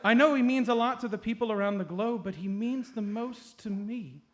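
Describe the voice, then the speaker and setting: A man's voice: high-pitched, normal speed, lightly acted. A man voicing a sweet, lovelorn older woman, earnest and fussy, in a live comedy radio play. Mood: pleading, sentimental.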